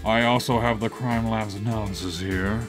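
A man's voice: fairly low pitch, fairly even intonation, moderate pace, soft background music, lightly acted. A man playing a stern, weary older police chief in an audio drama, matter-of-fact and authoritative.

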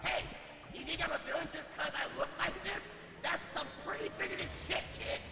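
A man voicing a creepy, indignant slime monster villain with a gravelly voice in an anime parody. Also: extremely high pitch, fairly quick, roomy sound, heavy background noise. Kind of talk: anime parody dub acting.

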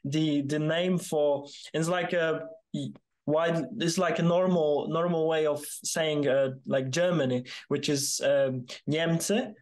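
A man in his twenties from Poland with a Polish accent, interviewing conversationally on a video call in halting phrases. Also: a little high, fairly even intonation, poor audio. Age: 20s. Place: small room, indoors, video call.

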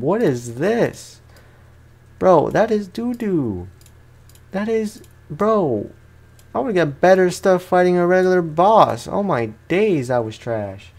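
A man's voice: mid-range voice, a little mellow. Young man doing slangy gaming commentary. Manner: slangy, informal. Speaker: gaming YouTuber. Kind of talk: casual let's play commentary.

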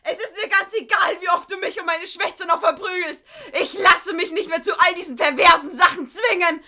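A woman's voice: very high-pitched, brisk, bright, forceful tone, heavily acted. A woman playing a unicorn princess who pretends to be a hysterical captive, screaming and wailing melodramatically. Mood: hysterical, desperate.

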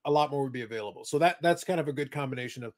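A man co-hosting a casual podcast, talking quickly about trading cards with dry jokes, on a video call. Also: medium pitch, a little mellow. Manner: quick, chatty, dry joking. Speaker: podcast co-host.

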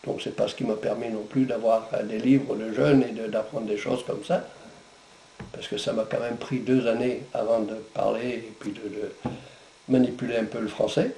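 An older man, a native Breton speaker, recounting his memories with halting pauses in a living room. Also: lowish voice, fairly even intonation, vocal fry, mellow, roomy sound, slight background noise, poor audio. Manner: halting, many pauses and fillers. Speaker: veteran interviewee.

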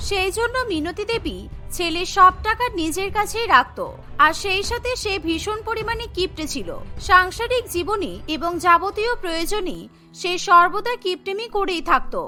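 Very high, bright female story narrator. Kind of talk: scripted cartoon story narration.

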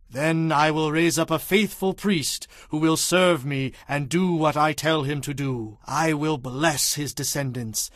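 An actor playing a stern, solemn prophet declaiming divine judgment in a dramatized audio Bible. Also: male voice, on the high side, fairly bright tone, no echo, clean studio sound.

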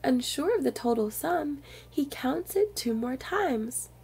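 A woman reading a picture book aloud to young children in an expressive storyteller's manner. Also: sits high, slow pace.

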